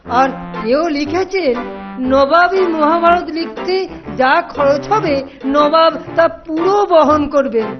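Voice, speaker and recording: An actor voicing a scheming, scornful male royal minister in a cartoon, officious and condescending. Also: slow pace. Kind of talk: Bengali cartoon voice acting. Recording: muffled low-fidelity track with music.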